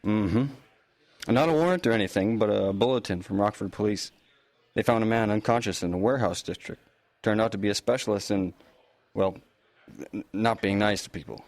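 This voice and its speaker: An actor playing a polite, easygoing small-town police officer in an audio drama, informal and a little awkward, in natural conversational dialogue. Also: male voice, fairly low pitch, brisk, pauses often, darkish tone, natural voice. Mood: informative.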